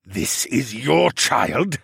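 A man voicing a proud, vengeful reptile-man regent lord in a fantasy audiobook, with bellowing, drawn-out indignation. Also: medium pitch, expressive, vocal fry, a bit bright, slightly rough, no echo, clean studio sound, voice pushed up, heavily acted. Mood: suspicious.

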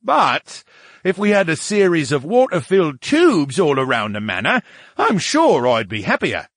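A man's voice: fairly high pitch, wildly swooping pitch, unhurried, no room sound, clean studio sound, voice pushed up, exaggerated voice. A man voicing a needy, grumbling brain in a tank, complaining theatrically in a storybook. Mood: grumbling, aggrieved. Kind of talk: audiobook character dialogue.

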